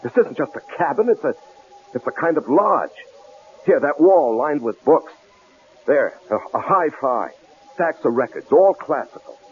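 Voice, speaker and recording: An actor with an American accent playing an anxious, cautious husband in a 1970s radio drama, hesitant, pleading and prone to stammering. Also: male voice, a little high, expressive, measured pace. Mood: uneasy, scolding. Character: anxious, apologetic husband, cautious and easily flustered. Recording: tinny, lightly noisy old radio broadcast.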